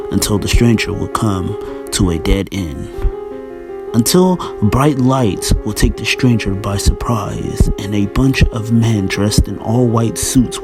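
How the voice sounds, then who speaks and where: A man reads a scripted story as narrator. His voice is fairly low and his delivery slow, with music playing very loudly behind him. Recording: very loud music bed over narration.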